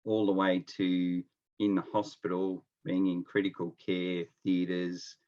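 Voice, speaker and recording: A man's voice: sits low, level tone, slow pace, a little mellow, lo-fi sound. A middle-aged Australian man from Melbourne chatting as an interview guest, telling stories in a laid-back, colloquial way. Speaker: interview guest, experienced nurse. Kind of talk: casual anecdotal chat. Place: at home, on a remote call.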